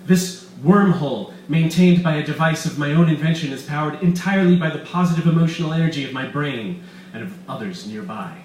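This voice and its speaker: A man's voice: on the high side, fairly quick, voice pushed up, natural voice. Man acting a gloating, pompous mad-scientist villain, roomy echo. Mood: grandiose. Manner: pompous, theatrical, exclamatory. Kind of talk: live short-story reading. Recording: roomy echo, distant mic, faint room noise.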